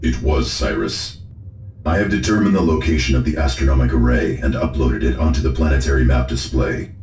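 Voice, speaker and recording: An actor playing a grave male tech adviser in a sci-fi game, with a very deep gravelly voice and formal, deliberate delivery. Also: a little mellow, slight background noise. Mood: grave, factual. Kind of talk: video game character acting. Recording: roomy echo with music behind.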